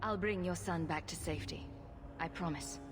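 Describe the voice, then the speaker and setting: An actress playing a calm, direct female adventurer hero with dry wit, in a game cutscene. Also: on the low side, moderate pace, lightly acted.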